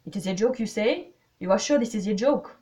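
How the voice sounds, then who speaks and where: Woman acting storybook male detective, elderly, French accent, formal, shrewd, grave, deliberate. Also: mid-range voice, expressive, warm tone, pitched above the performer's own voice, close to an everyday voice. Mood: grave, questioning. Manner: formal, deliberate, foreign phrasing.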